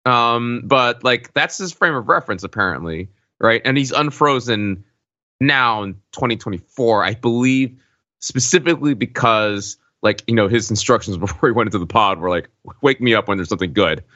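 A man's voice: medium pitch, moderate pace, sharp tone, dull audio. A man with an American accent co-hosting a podcast, talking through details analytically and checking them with the others. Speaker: podcast host. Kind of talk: casual analytical chat.

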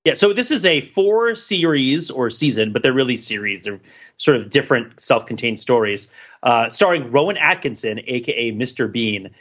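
A man's voice: medium pitch, animated, moderate pace, fairly bright tone, dull audio. A man co-hosting a podcast in casual discussion, talking in rambling runs with many restarts and fillers. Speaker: podcast co-host.

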